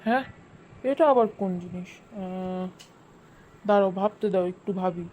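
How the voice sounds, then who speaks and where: A woman's voice: on the low side, moderate pace, phone-quality sound, natural voice. A woman voicing an easygoing, bumbling cartoon uncle with a Bangladeshi Bengali accent, who hesitates and draws out his thinking sounds. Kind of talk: cartoon dubbing. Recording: noisy home recording.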